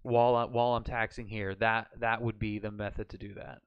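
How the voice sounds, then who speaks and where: A man's voice: on the low side, flat delivery, brisk, clear, resonant tone, dull audio. A man chatting casually on a live stream, talking through hypothetical situations as he works them out. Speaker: flight sim streamer learning radio procedures.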